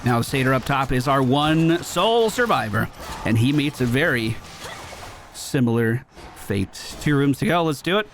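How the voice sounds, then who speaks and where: A man's voice: medium pitch, lively intonation, normal speed. A male gaming streamer giving casual live commentary, chatting and thinking aloud as he plays. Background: game music.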